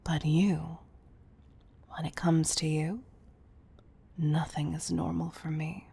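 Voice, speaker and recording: A woman playing a commanding but fond CEO in an intimate roleplay audio, speaking softly and deliberately to the listener, often near a whisper. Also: deep voice, little pitch movement, full character voice. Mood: candid, intimate. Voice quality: breathy, soft. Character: commanding, tough-loving female CEO, fond underneath.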